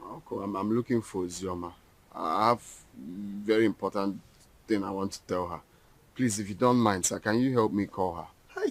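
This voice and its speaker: A timid young suitor. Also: male voice, on the low side, normal speed. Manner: timid, hesitant, stammering.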